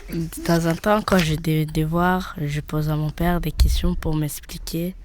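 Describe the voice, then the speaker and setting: A young woman in her late teens gives a halting personal testimony as a student, with many pauses and fillers. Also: low-pitched, steady tone, background noise.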